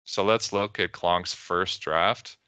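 A man's voice: low-pitched, steady tone, slow pace, pushed and bright, clean tone, no room sound. A young man with a Canadian accent giving a conference presentation over a video call, telling a story and quoting characters along the way. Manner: storytelling with quoted voices. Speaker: conference speaker. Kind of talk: presentation talk.

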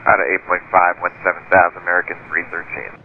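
A man's voice: low voice, completely flat delivery, fast talker. A man speaking as an airline pilot, giving clipped readbacks over aviation radio. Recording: noisy, tinny cockpit radio transmission.

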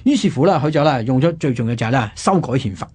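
A man's voice: mid-range voice, animated, quick pace, a little mellow, slightly rough. A male radio host with a Hong Kong Cantonese accent, telling history conversationally and emphatically with rhetorical questions.

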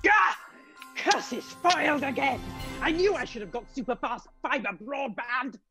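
A woman's voice: high voice, lively intonation, a little slow, music in the background. An actress playing a haughty, vain wicked queen in a comic pantomime, with a grand, impatient theatrical manner. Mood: thwarted fury. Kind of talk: comic pantomime acting over video call.

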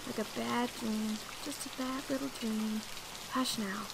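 A tender mother crooning a lullaby in a radio drama, her voice high and lilting with a gravelly edge. She sounds far off, nearly buried under heavy rain. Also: fairly even intonation, a little slow, heavy background noise. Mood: soothing. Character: gentle mother singing lullaby to child.

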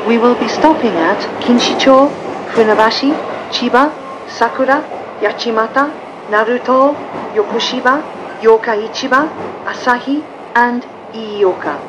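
A woman's voice: fairly high pitch, takes it slowly. A woman reading a formal, courteous scripted announcement over a train's speakers. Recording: train speaker with clear railway noise. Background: train running noise.

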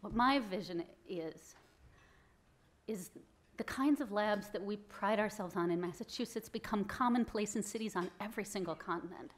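A woman's voice: medium pitch, moderate pace. A woman in her thirties giving an earnest acceptance speech into a stand microphone in an event hall, stressing key phrases. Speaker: award recipient giving speech. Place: event hall with audience, indoors. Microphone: stand mic at podium.

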